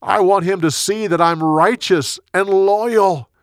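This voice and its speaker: A man's voice: on the high side, steady tone, takes it slowly, no echo, studio quality. A man voicing a bold, righteous and loyal warrior leader in an earnest, declamatory way for a story. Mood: pleading, earnest.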